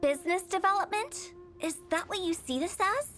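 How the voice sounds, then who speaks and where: A very high, bright voice belongs to a mischievous young funeral director who chats cheerfully about death. She teases and sounds a little spooky. Soft game music plays behind. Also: expressive, normal speed. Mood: playful. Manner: cheerful, teasing, a little macabre. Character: playful eerie young female funeral director.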